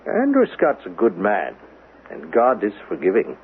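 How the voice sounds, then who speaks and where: An actor playing an elderly, gentle, wistful minister in a 1970s radio drama, speaking in a courteous, hesitant, halting way. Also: male voice, on the low side, wildly swooping pitch. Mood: concerned, hesitant. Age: older. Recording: muffled lo-fi radio recording with faint noise.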